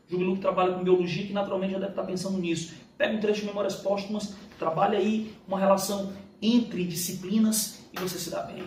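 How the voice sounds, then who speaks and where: High-voiced Brazilian male teacher, echoey room. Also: a little mellow. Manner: emphatic, didactic, asks and answers own questions; informal, slangy. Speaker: literature teacher. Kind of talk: live lesson, walking through exam questions. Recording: echoey room, distant mic, faint noise.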